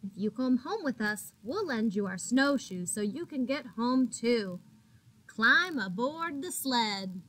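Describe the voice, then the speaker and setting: A woman's voice: on the high side, lively intonation, takes it slowly, a bit bright, heavily acted, low-quality recording. A woman reading the part of a friendly, helpful young boy in a storybook, earnest and eager.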